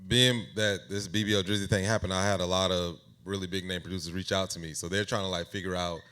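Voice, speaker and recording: A man speaking casually as an interview guest, thinking aloud in a halting, conversational way. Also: low-pitched, little pitch movement, bright, forceful tone, clean tone. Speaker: guest AI music creator.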